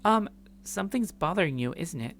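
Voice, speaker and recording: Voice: medium pitch, expressive, brisk, a bit bright, slight background noise, pitched above the performer's own voice. A performer reading a visual novel and voicing an upbeat young woman who earnestly coaxes her date. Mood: concerned, gentle. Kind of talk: visual novel character read-aloud.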